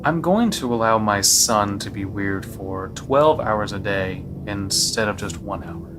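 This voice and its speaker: A man acting as a father in a horror audio drama, with a lowish voice. He explains his weird son's deeds in a resigned, matter-of-fact way, with music strong behind him. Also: unhurried, natural voice.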